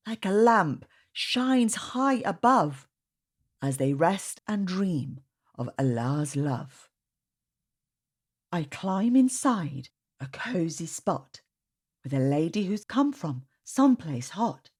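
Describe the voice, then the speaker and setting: A woman reading a rhyming children's picture book aloud in a measured storytelling manner. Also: medium pitch, husky.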